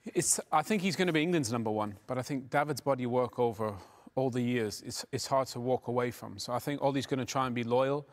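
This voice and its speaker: A man in his forties talks through a football match as a studio pundit, rattling along at a brisk clip. His delivery is conversational and filled with little fillers. He sits on a lapel mic in a television studio. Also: mid-range voice. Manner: quick, conversational, filler-heavy. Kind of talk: post-match football analysis.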